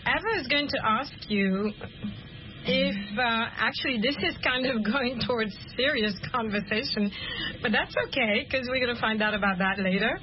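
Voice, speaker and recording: High-voiced woman hosting a radio chat. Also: highs cut off, slight background noise. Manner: encouraging, guiding the callers slowly. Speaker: radio host.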